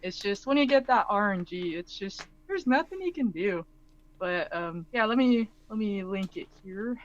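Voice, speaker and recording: A woman's voice: medium pitch, lively intonation, normal speed. A woman co-hosting a live Q&A, explaining a game guide at length with many "um"s and restarts. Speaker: co-host and guide writer. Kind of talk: casual live Q&A chat. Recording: dull lo-fi call audio.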